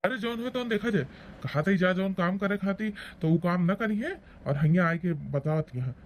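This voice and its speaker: A man's voice: high-pitched, normal speed, a little hiss or hum, natural voice. A man playing a blustering, defensive old village husband in a rural comedy cartoon, scolding and rambling in an Awadhi accent. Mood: bossy. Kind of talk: rustic comedy cartoon acting.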